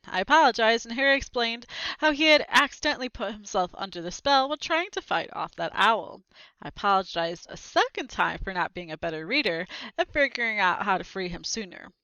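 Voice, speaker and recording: A woman reads a children's chapter book aloud with expressive flair and chatty asides to her viewers. Her voice is bright and lively. The recording is dry and slightly dull. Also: mid-range voice, smooth, no echo. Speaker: read-aloud host.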